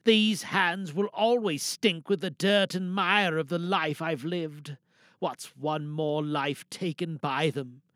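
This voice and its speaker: A man voicing a remorseful, world-weary pirate villain in a slow, pleading audio drama monologue. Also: on the high side, a bit bright, dry recording, clean studio sound. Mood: urgent, resigned.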